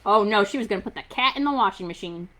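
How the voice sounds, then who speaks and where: A woman reading a children's picture book aloud as a storytime host, with expressive, dramatic delivery and playful emphasis. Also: mid-range voice, fast talker, rough recording.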